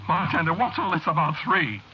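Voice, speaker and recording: An actor playing a frightened, desperate man in an agitated, halting way, in 1940s radio horror style. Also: on the high side, big pitch swings, slight background noise. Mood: desperate pleading. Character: frightened, desperate man begging a bartender to talk. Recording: muffled old radio broadcast with music behind.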